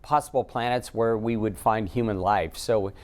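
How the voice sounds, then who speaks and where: A man with an American accent answering interview questions as an astronaut guest, halting often with ums as he thinks. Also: fairly low pitch, steady tone.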